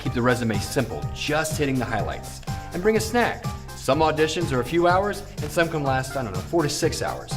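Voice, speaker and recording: A man's voice: on the high side, sing-song, brisk, few pauses, music in the background. A man presenting acting tips in scripted narration with casual asides.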